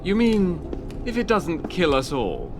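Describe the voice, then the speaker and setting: An actor playing a sardonic corporate visionary in a video game, wry and polished in delivery. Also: male voice, a little high, huge pitch swings, a little slow, over music, exaggerated voice.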